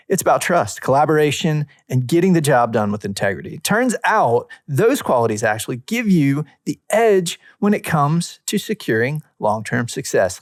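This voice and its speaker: A man with a medium-pitched voice co-hosts a casual podcast. He chats informally and reads aloud in measured phrases, leaning on key words. The recording is dry and free of room sound. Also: no echo. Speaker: podcast co-host. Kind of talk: casual podcast chat, reading listener messages aloud with short comments.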